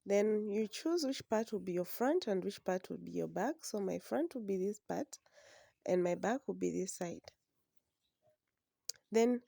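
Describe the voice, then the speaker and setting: A woman, hoarse from a flu, patiently explaining a sewing pattern step by step. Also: mid-range voice, mellow, no echo, clean studio sound. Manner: slow, patient instructions. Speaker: sewing instructor. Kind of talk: step-by-step drafting tutorial.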